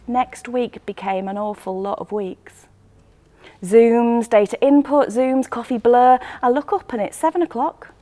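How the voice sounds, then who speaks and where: A woman acting a first-person monologue as a lonely, wistful choir member, intimate and expressive in short clipped phrases. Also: fairly high pitch, a little slow, close to an everyday voice. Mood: lonely, weary.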